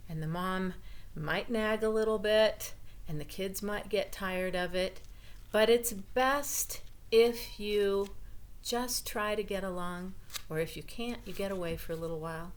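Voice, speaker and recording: A woman reading a children's story aloud and chatting simply and expressively to young listeners. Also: on the low side.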